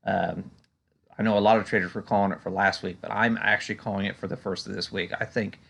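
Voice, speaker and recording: Low-pitched, gravelly man giving market commentary. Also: fairly even intonation, quick pace, a bit bright. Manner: conversational, hesitant, frequent "you know". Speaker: stock market analyst and video host. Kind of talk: unscripted market chart commentary.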